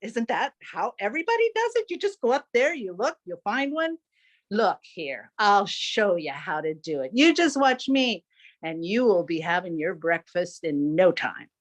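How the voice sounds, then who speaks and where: A woman's voice: medium pitch, sing-song, moderate pace. A woman voicing a cheerful male chicken hawk in a folktale, bubbly and coaxing. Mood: cheery coaxing. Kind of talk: folktale telling.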